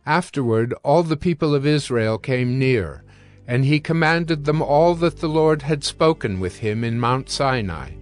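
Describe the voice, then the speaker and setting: A man with a mid-pitched voice narrates scripture. He tells it formally and in measured, deliberate phrases, with music playing faintly beneath him. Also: animated.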